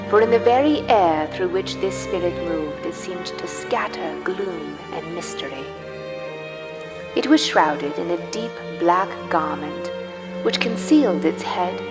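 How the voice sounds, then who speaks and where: A woman as the solemn storyteller of a live radio play, narrating in a measured, suspenseful and theatrical way. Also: lowish voice, in a large hall. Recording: music under live stage narration.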